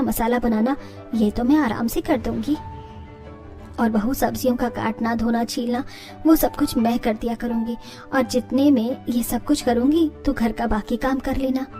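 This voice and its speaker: A woman's voice: fairly high pitch, mellow, pitched below the performer's own voice, close to an everyday voice. A woman voicing an older Indian mother-in-law in a story, homely and exclaiming, scandalised yet kindly.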